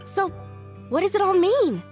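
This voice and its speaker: Brash high-voiced Southern country gal. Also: female voice, big pitch swings, unhurried, a little mellow, faint music behind, heavily acted. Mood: eager. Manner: folksy, drawling, brash. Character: brash folksy young country gal, excitable amateur detective.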